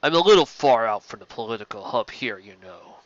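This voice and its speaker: A guarded male alien administrator, acted by a male narrator speaks with halting, formal courtesy in a science fiction story. The sound is dry and slightly dull. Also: mid-range voice, expressive, fairly bright tone, no room sound, natural voice. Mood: evasive, polite.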